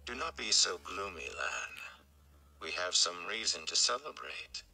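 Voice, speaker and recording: A man voicing a calm, tired, commanding sorceress in a storybook reading, measured and in control. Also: sits low, gravelly, full character voice. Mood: calm, dry.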